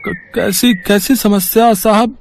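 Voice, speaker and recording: A man playing a poor, humble, kind young beggar in a storybook tale, with a gentle, pleading, deferential manner. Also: high-pitched, fairly even intonation, slow pace, vocal fry, a little hiss or hum, full character voice. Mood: humble, hesitant. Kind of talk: Hindi storybook character dialogue.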